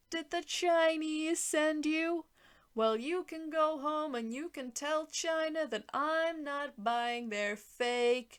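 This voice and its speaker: A woman voicing an arrogant male politician who boasts and mocks with drawn-out emphasis. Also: high-pitched, voice pushed up.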